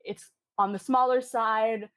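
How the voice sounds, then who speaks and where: A woman's voice: fairly high pitch, steady tone, slow, fairly bright tone, clean tone. A woman in her twenties from Hong Kong, chatting with enthusiasm as a podcast guest, with plenty of fillers. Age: 20s. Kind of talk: casual interview chat.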